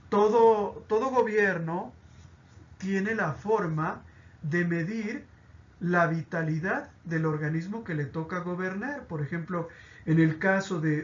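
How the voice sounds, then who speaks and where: A man's voice: high-pitched, a bit bright, highs cut off. A man teaching a pastoral catechism lesson, explaining with examples and often checking in with "¿sí?".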